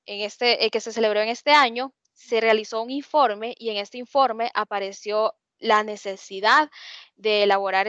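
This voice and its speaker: High-voiced Honduran woman lecturing, hesitant. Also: fairly bright tone, no echo. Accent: Honduran Spanish. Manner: explanatory, many hesitations and restarts. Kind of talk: online lecture. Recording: dull dry lo-fi audio.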